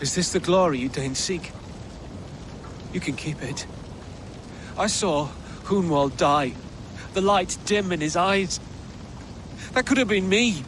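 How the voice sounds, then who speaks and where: A man's voice: sits high, takes it slowly, pauses often. An actor voicing a young warrior shaken and bitter after his first battle. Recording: game cutscene with loud rain noise.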